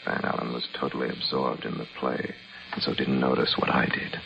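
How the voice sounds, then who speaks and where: A vengeful, determined chess master in a muffled vintage radio drama. His voice is deep and gravelly, with earnest, clipped and intense dramatic delivery. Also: level tone, brisk, soft background music, exaggerated voice. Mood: grave, narrating. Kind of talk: old-time radio drama acting and first-person narration. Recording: muffled old radio recording.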